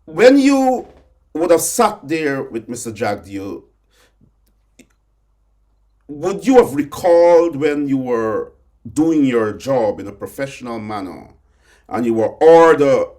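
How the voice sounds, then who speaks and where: A man's voice: mid-range voice, big pitch swings, slow pace, long pauses, darkish tone. Rambling Guyanese man interviewing a guest. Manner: rambling with many repeated words and restarts. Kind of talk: conversational interview.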